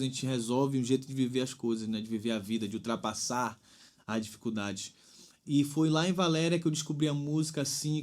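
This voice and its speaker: Convidado baiano, cantor, tom médio, divaga com calma e muito "né?". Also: male voice, medium pitch. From Bahia, Brazil. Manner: thoughtful, rambling with frequent "né?". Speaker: singer-songwriter guest. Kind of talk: reflective interview talk.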